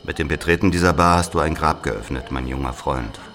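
An actor playing an embittered older Algerian man recounting his murdered brother in a deliberate radio drama monologue. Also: very deep voice, a bit bright. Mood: bitter.

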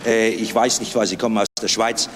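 A man answering a press interviewer as a senior official, haltingly, with many ers and tag questions. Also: lowish voice, steady tone. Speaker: senior public prosecutor. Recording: noisy location interview with traffic.

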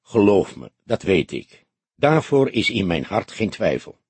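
Man playing a husky, weary old detective. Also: fairly low pitch, sing-song, a little slow, dry recording, heavily acted. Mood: certain. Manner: grave, compelling, gently disillusioned. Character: grey-haired old police detective, weary, stern yet tender.

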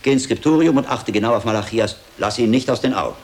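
An actor playing a sharp-minded, impatient medieval scholar monk in a German radio drama, reasoning aloud with deliberate emphasis. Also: male voice, mid-range voice, lightly acted. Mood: pondering, brisk. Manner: deliberate reasoning aloud, given to exasperated outbursts.

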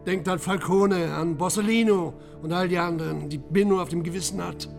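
A man's voice: high voice, fairly even intonation, a little fast, creaky, music in the background. A man playing a commanding, driven police chief in a radio crime drama, urgent and insistent. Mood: frustrated, resolute.

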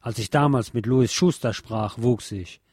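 Casting: man playing a serious, conciliatory soldier for a war story, reflective, mid-pitched, dry sound. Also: measured pace, a little mellow, husky, dry recording. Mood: earnest. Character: earnest, thoughtful, conciliatory male soldier comrade.

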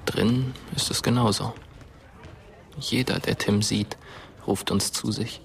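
An actor playing a dead teenage boy who narrates as a ghost in a German radio drama, measured, darkly knowing and often hushed. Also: on the low side, steady tone, vocal fry. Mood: brooding.

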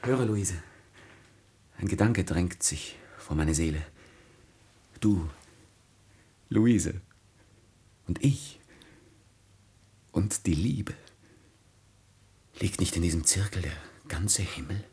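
An actor playing an ardent, jealous young nobleman in a classic German radio tragedy, impassioned and swinging between hushed and forceful speech. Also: deep voice, slow pace, long pauses, slightly rough, heavily acted. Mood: ardent, tender. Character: ardent, impetuous, jealous young aristocratic lover.

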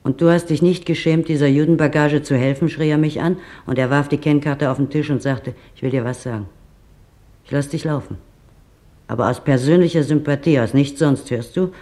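Man acting a shaken witness with creaky voice. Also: a little high, fairly quick, mellow, lightly acted. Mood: agitated recounting. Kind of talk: dramatized documentary monologue in German.

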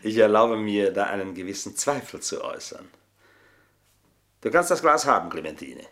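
An actor in a German radio play playing a scheming, gloating manservant with a pompous, mock-deferential, savouring delivery. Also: male voice, lowish voice, big pitch swings, normal speed, fairly bright tone.

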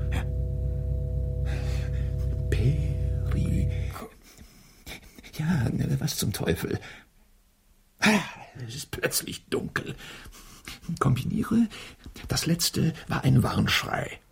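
An excitable, commanding male detective in a radio play. His pitch swings dramatically and his voice has a creaky edge. He slips often into hushed, theatrical murmuring. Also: slow pace, long pauses, over music, full character voice. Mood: excited. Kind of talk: German radio drama acting.

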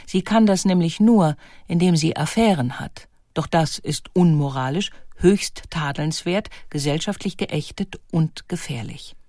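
A woman reading scripted documentary narration in a measured, articulate way. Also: low-pitched, dark tone, no room sound, studio quality.

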